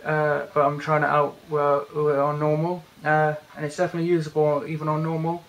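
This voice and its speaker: A young British man casually walking through a phone's features in a bedroom, talking haltingly with many fillers and restarts. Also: a little high, monotone, lo-fi sound, faint music behind. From Britain. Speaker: tech reviewer. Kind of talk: casual hands-on product walkthrough. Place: indoors, bedroom.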